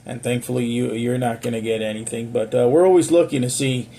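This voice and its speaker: A man chatting casually over amateur radio as an operator, courteous and measured in his radio procedure. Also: mid-range voice, fairly even intonation, warm tone. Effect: amateur radio transmission. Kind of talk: casual two-way radio chat. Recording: radio audio with faint hiss.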